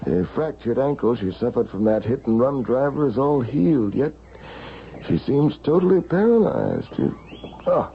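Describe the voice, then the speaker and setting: An actor playing a concerned, earnest sanatorium doctor in a 1970s radio drama. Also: male voice, medium pitch, animated, normal speed, close to an everyday voice. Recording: slightly dull, faintly noisy vintage radio.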